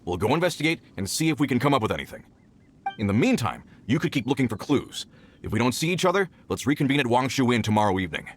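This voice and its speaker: Man acting brisk, expressive search-party leader. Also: mid-range voice, slight background noise, natural voice. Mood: decisive. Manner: decisive, businesslike. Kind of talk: video game character acting.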